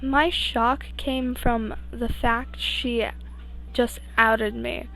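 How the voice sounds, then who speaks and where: A young woman with a fairly high voice reads fan fiction aloud as an amateur. Her delivery is slow and halting, with frequent stumbles and restarts. She slips informally between the story and her own asides. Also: fairly even intonation, pushed and bright, faint music behind, low-quality recording. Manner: halting, stumbling over words. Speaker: amateur podfic reader.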